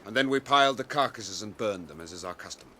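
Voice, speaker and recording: An actor playing a proud, wary cavalry commander, blunt and honourable, speaking formally and with authority in a radio fantasy drama. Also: male voice, lowish voice, expressive, fast, pushed and bright, a little hiss or hum. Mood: authoritative.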